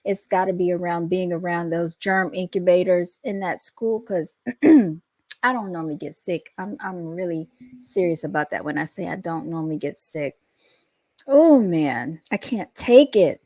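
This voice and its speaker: A woman's voice: on the low side, warm tone, no room sound. A woman hosting her own channel and chatting casually to her viewers, her voice scratchy because she is coming down with something. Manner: chatty, drawn-out, talks straight to viewers. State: scratchy, under the weather. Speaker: host and founder of the channel.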